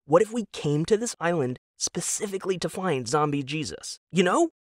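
Man playing eager, dim husband, pitched up. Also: expressive, moderate pace, no echo, studio quality, lightly acted. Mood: eager, excited. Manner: rambling, pleading, wide-eyed enthusiasm. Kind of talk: audio drama character dialogue.

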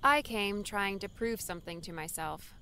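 A woman voicing an anxious, earnest young woman in an audiobook, hesitant, soft-spoken and sincere. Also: medium pitch, animated, normal speed, slight background noise. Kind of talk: audiobook character dialogue.

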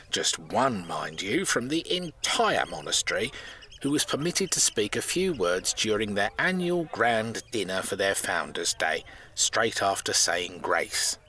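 A man's voice: on the low side, bright, forceful tone, full character voice. A man playing a bossy, wry crook boss in an audio comedy drama, chatty and colloquial. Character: gruff bossy male crook boss, fond of jokes.

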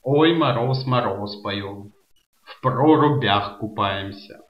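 A man's voice: on the low side, takes it slowly. A man playing an earnest, sanctimonious cult follower as a preachy guest in a comedy radio sketch. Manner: solemn, preachy. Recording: roomy, dull lo-fi sound.

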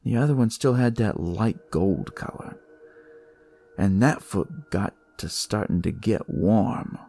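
A man's voice: lowish voice, slow, gravelly, mellow, heavily acted. A man voicing a detective who tells his story in the first person, conversational and theatrical, as in a dramatic audio story. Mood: uneasy, wry. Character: male detective recounting a sinister, eerie discovery. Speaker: storyteller narrating in character. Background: music.

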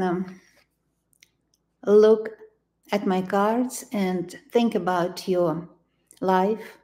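A woman in her sixties speaks with a Ukrainian accent at a slow pace. She gives a tarot reading in a living room, choosing her words with care and pausing as she goes. Her pitch sits in the middle for a woman. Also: mellow. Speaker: tarot reader.

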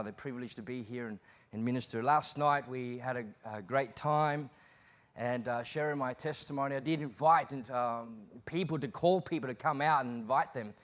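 A man's voice: medium pitch, fairly bright tone. A man in his thirties with an Australian accent, a guest preacher giving a sermon from a church pulpit. He moves from easy, conversational talk into emphatic preaching. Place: church stage behind a pulpit. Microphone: pulpit microphone.

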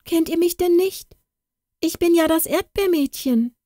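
A woman voicing a poor young girl in a fairy tale, speaking high, pleading and breathless. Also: moderate pace, mellow, dry recording, clean studio sound. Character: poor young strawberry girl, frightened and earnest.

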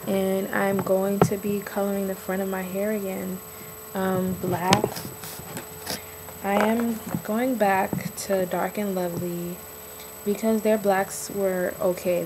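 A woman's voice: mid-range voice, background noise. A young woman chatting casually to her webcam at home, with many ums and likes. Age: young adult. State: slightly hoarse. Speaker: vlogger. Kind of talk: casual vlog chat. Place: bedroom at home, indoors. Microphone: webcam built-in mic, close.

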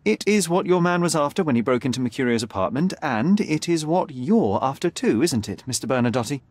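An actor playing a confident, sharp young detective who speaks formally and articulately, needling and unflappable in a dramatic video game style. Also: male voice, a little high, expressive, a little fast. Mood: assured, accusing.

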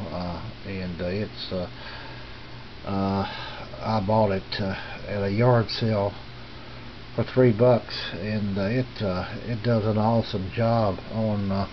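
Halting man on muffled, hissy camcorder audio. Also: on the low side. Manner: halting, rambling, unscripted. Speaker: radio hobbyist host. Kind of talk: casual handheld video tour. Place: small indoor home radio room. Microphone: handheld camera's built-in mic.

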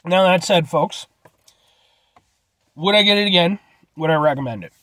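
A man's voice: high-pitched, moderate pace, no room sound. A man in his thirties, a little stuffed up from a cold, rambling through a casual food review vlog from his parked car, talking into a phone held at arm's length. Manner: rambling, animated, gestures a lot. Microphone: phone camera at arm's length.

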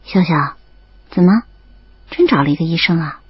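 A woman playing a gentle, knowing sister-in-law in an audio drama, speaking softly and wistfully. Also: fairly low pitch, expressive, normal speed, slightly rough, no echo, dull audio.

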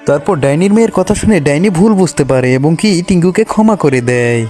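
A man's voice: a little high, lively intonation, a little fast, dark tone. A man narrating scripted children's cartoon stories. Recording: music behind the voice.

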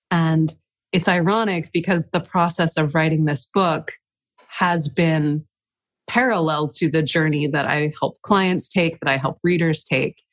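Low stuffy-voiced woman guest, earnest and reflective, dry close sound. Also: dry recording. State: stuffy from a cold. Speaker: author and coach, podcast guest. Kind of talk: casual podcast interview.

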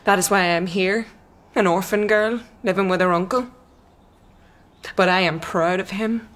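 A woman's voice: mid-range voice, steady tone, moderate pace, a bit bright, close to an everyday voice. An actress playing a proud, guarded young orphan woman in a radio drama, confiding and self-possessed. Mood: proud, wistful. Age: young adult.

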